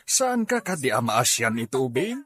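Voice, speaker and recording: A man's voice: medium pitch, big pitch swings, moderate pace, a bit bright. A man with an Ilocano accent acting a kind, well-off farmhouse owner in a radio drama, earnest and caring. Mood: concerned, indignant.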